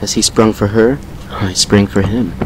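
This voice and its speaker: A man playing a brooding vampire who recounts a painful past with measured regret in an audio drama. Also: fairly low pitch, steady tone, noisy background, lightly acted. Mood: grim, regretful. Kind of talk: fan fiction audio drama monologue.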